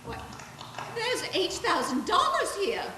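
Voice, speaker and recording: Woman playing a high, animated, principled bank examiner. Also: slow, heavily acted. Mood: lively, surprised. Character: outspoken, indignant, principled female bank examiner. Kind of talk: live radio play acting. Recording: very echoey stage, faint hiss.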